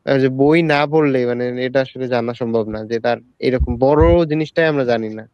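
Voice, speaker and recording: A man's voice: medium pitch, brisk, smooth, dry recording, muffled recording. A man talking casually as a panelist in a live discussion.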